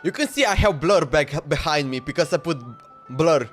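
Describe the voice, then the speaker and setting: A man's voice: a little high, expressive, normal speed, faint music behind. A man live-streaming a video game, reacting casually and chatting, often repeating himself. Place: indoors at a streaming setup.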